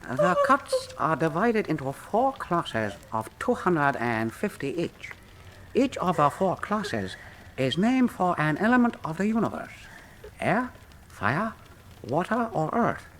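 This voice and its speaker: A man's voice: fairly high pitch, wildly swooping pitch, slow pace, fairly bright tone, slight background noise, exaggerated voice. A man playing a proud, courteous Chinese master torturer in a radio drama, speaking in a formal, ceremonious manner. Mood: gloating, methodical.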